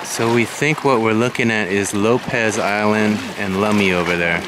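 Loud outdoor noise and wind surround a man in his forties. He speaks in a fairly low voice, casually narrating a walk for his vlog on a handheld camera. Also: steady tone, moderate pace. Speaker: vlogger. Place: outdoors along a waterfront. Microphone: handheld camera microphone. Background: loud wind and outdoor ambience.

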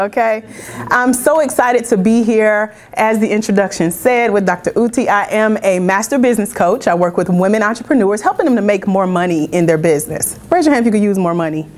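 A woman's voice: medium pitch, a little mellow. A middle-aged woman from Georgia, giving an upbeat, interactive motivational talk in an indoor room. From Georgia, United States. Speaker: motivational speaker, business coach. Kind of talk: informal motivational talk to a small audience.

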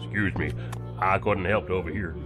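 Male actor as hesitant, courteous bystander, lowish pitch, audio drama over music. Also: steady tone, brisk, lightly acted. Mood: polite, hesitant. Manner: polite, hesitant. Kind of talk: science fiction audio drama acting.

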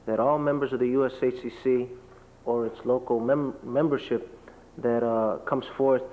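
A man speaking as a panelist in a large lecture hall, explaining at length in a steady way and listing things. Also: medium pitch, moderate pace. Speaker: panelist, business association leader. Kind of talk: panel discussion answer. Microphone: table microphone. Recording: reverberant hall, dull lo-fi tape.

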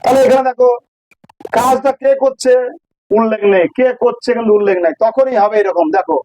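High-pitched Bengali male teacher. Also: brisk, dark tone, dry recording, soft background music, poor audio. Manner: didactic, repeats phrases for emphasis. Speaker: English grammar teacher. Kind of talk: informal grammar lesson, explaining with examples.

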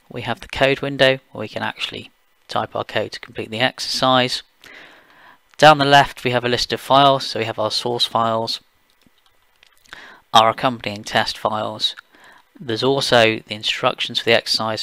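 A man from the UK talking through a software screencast step by step, plain and explanatory, with occasional fillers. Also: lowish voice, vocal fry, no echo. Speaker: software engineer giving a tutorial. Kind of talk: screencast walkthrough voice-over.

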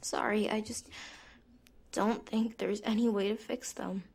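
A woman playing a scheming, outwardly apologetic young unicorn pony in a fan-made cartoon drama, halting and given to breathy asides. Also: mid-range voice, fairly even intonation, a little mellow. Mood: downcast, pleading.

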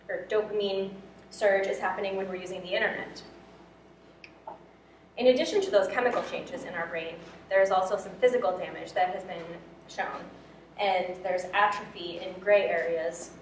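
A woman's voice: mid-range voice, far from the mic. Young woman presenting in an echoey room. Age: young adult. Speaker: student presenter. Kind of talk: prepared presentation. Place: lecture room, indoors. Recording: echoey room from afar, faint noise.

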